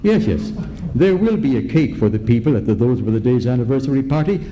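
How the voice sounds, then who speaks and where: A man's voice: mid-range voice, animated, fairly quick, warm tone, close to an everyday voice. An actor playing a fussy, indignant elderly man in an old-time radio comedy, talking in a pompous, sputtering, old-fashioned way. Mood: flustered, determined. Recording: noisy live ballroom stage recording.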